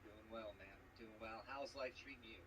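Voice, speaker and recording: A man's voice: on the low side, moderate pace, sharp tone. A man talking casually and persuasively to his team partner. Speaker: team competitor. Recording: clearly noisy background.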